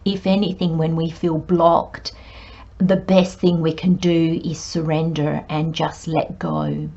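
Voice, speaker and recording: Woman tarot reader, croaky voice, lowish pitch, slow and meandering, dull lo-fi recording. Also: flat delivery, mellow. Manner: unhurried, meandering with many pauses and fillers. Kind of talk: spoken tarot reading monologue.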